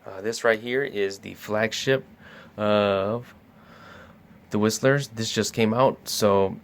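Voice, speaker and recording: Low flat-voiced man demoing gadgets. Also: slow. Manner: easygoing, lots of uh and um fillers. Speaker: scanner store salesperson. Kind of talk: casual product demo talk.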